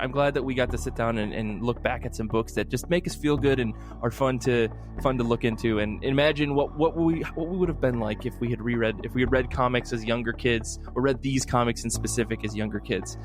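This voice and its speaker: A man hosts a casual podcast, talking quickly and enthusiastically. His pitch is average for a man, and the style is loose and chatty, with faint music behind the voice. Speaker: podcast host.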